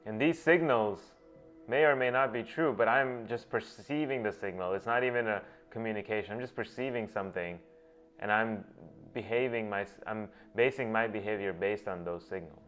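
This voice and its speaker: A man's voice: lowish voice, normal speed, fairly bright tone. A man whose first language is Gujarati, hosting and thinking aloud in a reflective monologue, often restarting his phrases as he works through ideas. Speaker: host. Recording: dull lo-fi with faint music.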